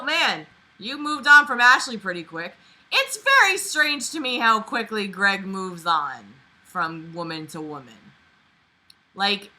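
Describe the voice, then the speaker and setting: Sharp, bright-voiced sarcastic young woman. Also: on the low side, low-quality recording. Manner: sarcastic, exasperated, sweary. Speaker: online book reader and commentator. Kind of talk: casual reading aloud with running mocking commentary.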